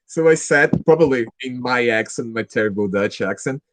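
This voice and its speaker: Brazilian man speaking halting English. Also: mid-range voice, a little hiss or hum. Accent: Brazilian Portuguese. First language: Portuguese. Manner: hesitant, restarts often, laughs while telling stories. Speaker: language club member. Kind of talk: casual conversation practice.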